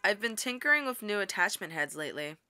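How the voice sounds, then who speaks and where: A woman's voice: mid-range voice, sing-song, fast talker, pushed and bright, a little hiss or hum. A woman playing a practical, wry young lighthouse keeper and inventor, narrating and speaking in an audio drama in a conversational way. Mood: matter-of-fact.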